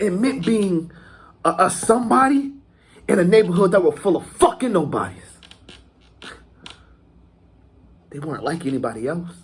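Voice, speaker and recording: A man performing a dramatic monologue as a swaggering, foul-mouthed gangster reminiscing about his youth, halting and repeating words for emphasis. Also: medium pitch, dark tone, a little hiss or hum, lightly acted. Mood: proud, fired up.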